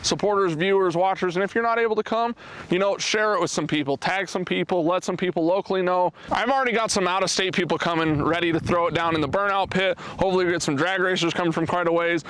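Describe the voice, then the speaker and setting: Energetic man vlogging outdoors at a track. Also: sits high, quick pace, clean tone, rough recording. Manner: energetic, animated, talks with his hands. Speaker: automotive vlogger, event organizer. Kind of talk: casual promotional walk-and-talk vlog. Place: outdoors at an open, windy race track facility. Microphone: camera held at arm's length.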